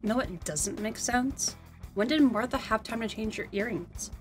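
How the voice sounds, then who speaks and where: A woman's voice: a little high, moderate pace, music in the background. A woman co-hosting a scripted commentary on a cartoon, in conversational banter. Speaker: co-host.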